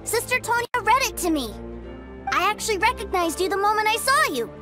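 A woman's voice: very high voice, sing-song, fairly quick, over loud music. A woman voicing an innocent, chatty young boy in a video game, with a light childlike voice and an earnest, artless manner. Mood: curious, earnest.

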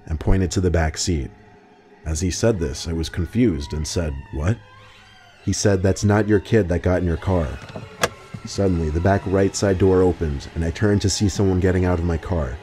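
A man narrating scripted true horror stories in a steady, even way. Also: low-pitched. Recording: faint music under the voice.

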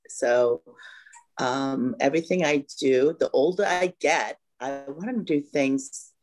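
A woman's voice: extremely low pitch, takes it slowly, darkish tone, rough recording. Hesitant woman from Vietnam, filler-heavy. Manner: hesitant, full of "you know" fillers. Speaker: guest. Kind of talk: casual interview chat. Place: home room by a bright window.

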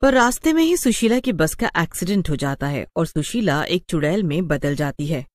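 A woman reading scripted story narration for an animated tale. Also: low-pitched, normal speed, no room sound.

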